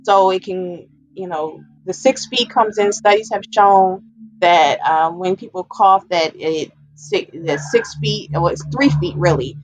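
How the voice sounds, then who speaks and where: A woman's voice: fairly low pitch, a little slow, low-quality recording. A woman giving an informal, explanatory public health presentation, stressing and repeating her key points as an educator.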